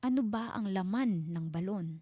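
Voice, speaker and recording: A woman narrates a scary story in a dark, mellow voice of medium pitch. Her phrasing is deliberate and full of suspense, and the sound is very dry and close. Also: no room sound. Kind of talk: dramatic scripted horror narration.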